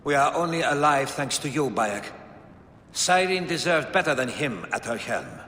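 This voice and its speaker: An actor playing an earnest, grateful ally of a hero in an ancient-world video game, with theatrical delivery. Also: male voice, big pitch swings, moderate pace, sharp tone. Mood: grave, earnest. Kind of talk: dramatic video game acting. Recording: long hall reverb, faint rumbling noise.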